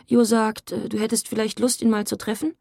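A woman's voice: fairly high pitch, level tone, a little fast, gravelly, warm tone, no echo, clean studio sound. An actress playing a woman who casually passes on an invitation in a radio play. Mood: offhand. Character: woman passing on a message.

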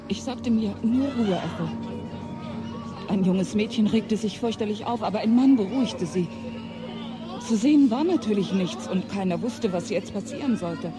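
A woman's voice: mid-range voice, lightly acted. A woman acting in a radio play as a frightened passenger, anxiously trying to stay composed. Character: frightened Englishwoman trapped on a stalled train.

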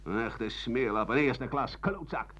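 An actor voicing sneering, spiteful townsfolk gossiping in a radio play. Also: male voice, mid-range voice, expressive, measured pace, a bit bright. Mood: scornful gossip. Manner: spiteful, accusing. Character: sneering gossiping townsfolk, mocking the old doctor. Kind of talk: 1980 Dutch radio play. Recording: muffled old radio broadcast recording.

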